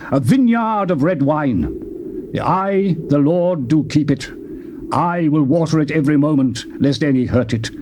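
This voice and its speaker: Man, solemn scripture reader, declamatory, mid pitch, noisy recording. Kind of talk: dramatized scripture reading.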